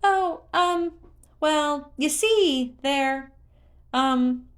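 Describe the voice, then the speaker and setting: Casting: a woman as an excitable little forest spirit, squeaky high, bashful and exclamatory, for story narration. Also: very slow. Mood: flustered. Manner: exclaiming, hesitant when flustered, singsong catchphrases.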